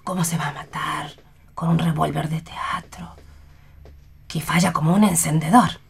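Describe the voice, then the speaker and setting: A woman playing a calm, wise street vendor in a radio play, with a breathy, husky voice and a deliberate, admonishing manner. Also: deep voice, exaggerated voice. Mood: dry reproach. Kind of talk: read radio theatre.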